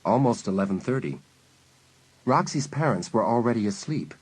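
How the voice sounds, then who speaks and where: A man acting as the measured storyteller of a suspense audio drama, reading scripted narration. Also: fairly low pitch, very animated, breathy edge. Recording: very loud ambient sound effects behind.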